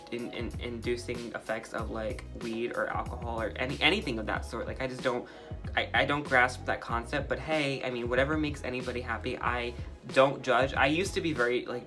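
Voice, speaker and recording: A man's voice: mid-range voice, sharp tone. A young man with a light, feminine-sounding voice, casually sharing his opinions with many filler words as he makes slime. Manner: talkative and opinionated, heavy use of "like". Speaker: vlogger. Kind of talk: casual opinion chat while making slime.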